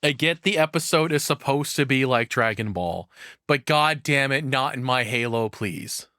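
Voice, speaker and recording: A man's voice: mid-range voice, lively intonation, moderate pace, sharp tone, no echo, studio quality. A man giving casual reaction commentary as a streamer, rambling and opinionated, with some swearing.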